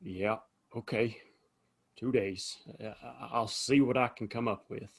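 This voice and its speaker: A man playing a weary Old West saloon keeper in an audio play, husky, hesitant and folksy. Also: mid-range voice, fairly even intonation, a little slow. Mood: resigned, bitter. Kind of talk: Western audio play acting.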